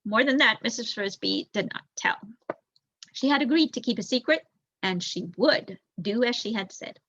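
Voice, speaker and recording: A young woman reading a children's story aloud in an expressive narrating style. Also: fairly high pitch, moderate pace, dull audio, slight background noise. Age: young adult. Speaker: volunteer audiobook reader.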